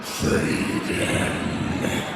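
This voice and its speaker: A man playing an imposing shadow demon in a fantasy audio drama, with a guttural, whispery growl and a slow, menacing delivery. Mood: menacing, urgent. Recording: echoing voice over music and crowd.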